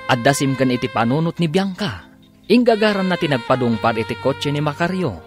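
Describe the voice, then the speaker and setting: A man's voice: on the high side, animated, fairly quick. A man giving dramatic scripted narration as the announcer of an Ilocano radio drama. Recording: narration over prominent musical score. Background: dramatic music.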